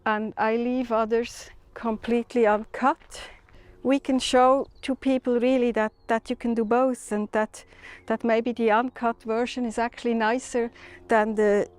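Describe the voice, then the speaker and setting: A woman's voice: fairly high pitch, little pitch movement, smooth. A middle-aged woman from Switzerland, a stud farmer, speaking thoughtfully and unhurriedly outdoors on her farm. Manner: thoughtful, unhurried, with small restarts. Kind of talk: documentary interview.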